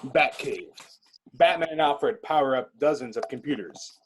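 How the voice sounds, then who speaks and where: A man with a husky voice narrating a script in a clipped, descriptive reading style. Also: medium pitch, expressive, normal speed.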